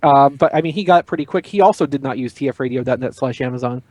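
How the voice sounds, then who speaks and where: A man's voice: medium pitch, quick pace, a little mellow, no room sound. A man chatting casually on a panel, explaining fine details as he goes. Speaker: podcast panelist. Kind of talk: casual chat about collectible toys.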